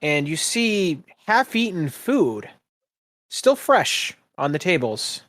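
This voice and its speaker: Higher-pitched man narrating a fantasy game. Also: big pitch swings, slow, fairly bright tone. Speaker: game master.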